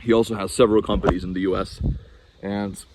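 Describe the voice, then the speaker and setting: A man's voice: fairly low pitch, flat delivery, quick pace, heavy background noise. A young man from Norway talking casually to camera in a vlog, rambling and chatty, with frequent restarts and fillers. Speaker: vlogger.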